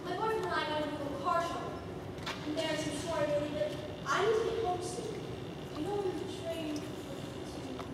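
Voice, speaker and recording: A high-voiced stranded woman in a stage drama, acted by a female student. She is heard far from the microphone in a very reverberant hall, with heavy noise over the recording. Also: moderate pace, lightly acted. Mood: anxious. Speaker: student actor. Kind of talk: high school stage play acting. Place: school theatre stage, recorded from the audience. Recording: echoey noisy auditorium, distant microphone.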